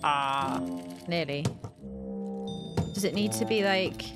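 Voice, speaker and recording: A woman's voice: deep voice, slow pace, over loud music. A young adult woman giving casual playthrough commentary into a close desk mic, thinking aloud and reacting as she plays. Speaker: gaming video host. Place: small room at home, indoors. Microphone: close desk microphone on an arm.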